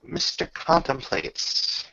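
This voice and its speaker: A man with a gravelly voice acts as game master, casually narrating a tabletop roleplaying game with informal, descriptive talk. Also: slow, bright, forceful tone, dry recording, lo-fi sound.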